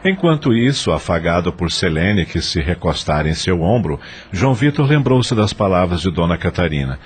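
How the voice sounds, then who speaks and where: A man's voice: deep voice, sing-song, normal speed, a little hiss or hum. A man with a Brazilian accent narrating an audio novel in a scripted, dramatic style.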